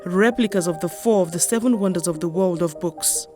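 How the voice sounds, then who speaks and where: A woman's voice: on the low side, brisk. News lady narrating a report, music playing behind.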